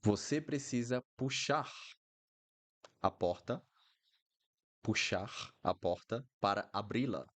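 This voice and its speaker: A man's voice: fairly low pitch, expressive, a little slow, long pauses. A man from Brazil with a Brazilian Portuguese accent, teaching a live online Portuguese lesson in a chatty, apologetic way and repeating words for learners. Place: Brasilia.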